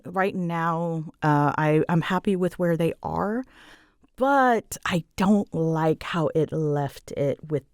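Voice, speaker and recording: A woman's voice: on the low side, clean tone, no room sound, clean studio sound. A woman chatting casually and unscripted as she answers fan questions, rambling and self-deprecating, often breaking off mid-sentence. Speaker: audio roleplay creator answering fan questions.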